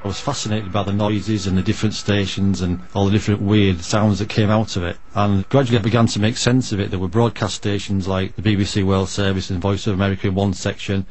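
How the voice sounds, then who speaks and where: A man's voice: on the low side, level tone, fast, darkish tone, slight background noise. A middle-aged man, a radio hobbyist, recalling his past in an interview. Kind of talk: reminiscing in an interview.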